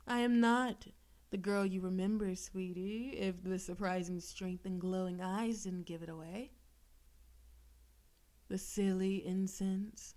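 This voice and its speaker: A woman voicing a possessive, seductive female demon in an intimate audio roleplay, teasing and coaxing with theatrical menace, sometimes breathy. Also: medium pitch, fairly even intonation, takes it slowly, darkish tone, natural voice. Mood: proud, menacing. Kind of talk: intimate audio roleplay, scripted monologue to listener.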